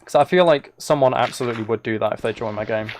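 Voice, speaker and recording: Male game streamer, mid-pitched with noticeable fry, musing aloud in loose, casual chat. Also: fast, darkish tone, a little hiss or hum. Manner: rambling, musing to himself while playing. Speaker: live streamer. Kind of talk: casual gaming livestream chat, thinking aloud.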